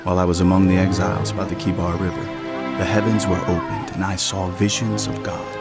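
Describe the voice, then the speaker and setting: A man playing an awestruck prophet in a dramatized scripture reading, recounting visions in a solemn, measured, reverent way. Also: deep voice, level tone. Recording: loud music and effects behind voice.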